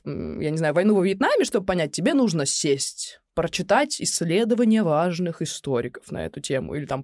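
Young woman, very quick pace, casual slangy podcast chat, dry close sound. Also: lowish voice, darkish tone, dry recording. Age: young adult. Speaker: podcast co-host. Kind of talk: casual opinionated podcast discussion.

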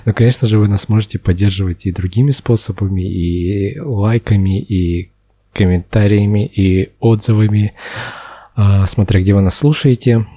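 A man's voice: fairly low pitch, fairly even intonation, moderate pace, warm tone, breathy edge. A thirty-year-old man co-hosting a podcast in casual, teasing banter with friends. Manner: joking, teasing. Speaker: podcast co-host. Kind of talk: casual banter among co-hosts.

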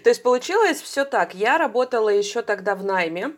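Woman in her thirties, interview guest, rambling conversational storytelling full of pauses and fillers. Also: fairly high pitch, expressive. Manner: chatty, hesitant, frequent "uh" fillers and self-corrections. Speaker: guest, psychotherapist and coach.

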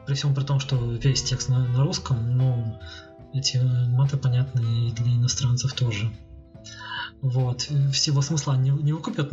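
A man talks casually as a podcast co-host, working through arguments out loud with hesitant pauses. The sound is slightly dull, with music playing behind him. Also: medium pitch. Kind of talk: casual discussion.

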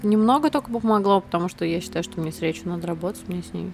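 A woman's voice: fairly low pitch, racing, vocal fry, darkish tone, a little hiss or hum. A young woman guest on a podcast, talking casually in an earnest, slightly hesitant way. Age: young adult. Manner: earnest, a bit hesitant, self-described shy. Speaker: guest, city guide blogger.

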